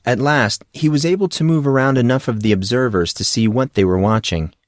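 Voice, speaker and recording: A man narrating a fantasy audiobook in a steady storytelling delivery. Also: mid-range voice, brisk, no echo, studio quality. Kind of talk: audiobook reading.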